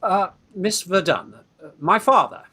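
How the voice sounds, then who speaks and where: An actor playing a scheming young Victorian gentleman in a radio farce, urgent, hesitant and bossy. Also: high-pitched, unhurried, slightly rough, exaggerated voice. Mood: anxious.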